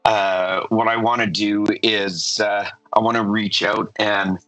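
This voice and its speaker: Low-voiced man playing a tabletop game. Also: flat delivery, a bit bright, no room sound, faint music behind, low-quality recording. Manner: halting, filler-heavy, thinking aloud. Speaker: player. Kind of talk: describing his character's actions in a tabletop game.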